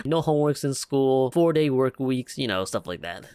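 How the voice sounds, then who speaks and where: A man's voice: medium pitch, animated, normal speed, a little mellow, clear, resonant tone, dry recording. Jokey young man reacting casually to a game. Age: young adult. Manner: self-deprecating, jokey. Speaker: gaming video host. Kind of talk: casual reaction commentary over gameplay. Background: faint music.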